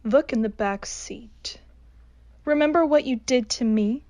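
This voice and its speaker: A woman's voice: fairly high pitch, unhurried, no echo. A woman playing the vengeful ghost of a young woman in a horror radio drama, accusing and menacing. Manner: accusing, menacing, hushed at times.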